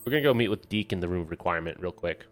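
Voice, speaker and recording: A man's voice: sits low, expressive, very fast. A man doing casual live playthrough commentary as a streamer, thinking aloud and playfully repeating phrases. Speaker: video game streamer. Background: game music.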